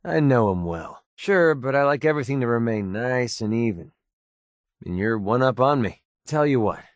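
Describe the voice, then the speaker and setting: A young gun-for-hire from a video game, played by a male actor, speaking in a medium-pitched voice with no room sound. He is sardonic and offhand, a guarded man who is loyal underneath. His delivery is casual and conversational, like a companion on the road. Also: lively intonation, moderate pace, no room sound, clean studio sound, close to an everyday voice. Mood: earnest, guarded. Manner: casual, sardonic, hesitant asides. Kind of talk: scripted game companion dialogue.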